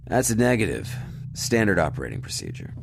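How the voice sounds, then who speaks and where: A man acts out a stern, armed ship's officer in a science fiction audio drama. His voice is very low and creaky, with wide swings in pitch. He speaks in clipped, formal, authoritative phrases. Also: huge pitch swings, brisk, background noise, full character voice. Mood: stern, official. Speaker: security officer.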